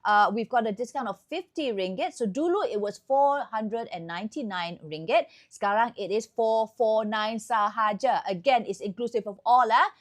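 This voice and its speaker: Malaysian lady hosting a hotel room tour, chatty sales talk, kinda high voice. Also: moderate pace, few pauses. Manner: chatty, upbeat sales patter. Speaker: host.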